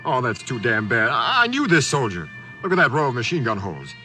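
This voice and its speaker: Lively, swooping blunt wartime companion. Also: male voice, mid-range voice, brisk. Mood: dismayed. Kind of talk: 1940s radio drama acting. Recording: old radio broadcast with music.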